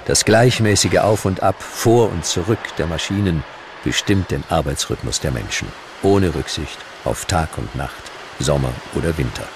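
A man narrating a scripted documentary with dramatic, deliberate storytelling. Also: a bass voice, expressive, noisy background.

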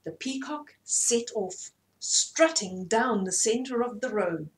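A woman in her fifties reading a story aloud to children in an animated way, often asking the listener questions. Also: a little high, slow pace. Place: small indoor room at home.

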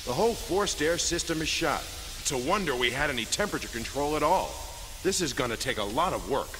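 A man playing a practical, gruff young space cadet engineer in an animated film dub. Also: fairly high pitch, brisk, big-room sound, over music, lightly acted. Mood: matter-of-fact.

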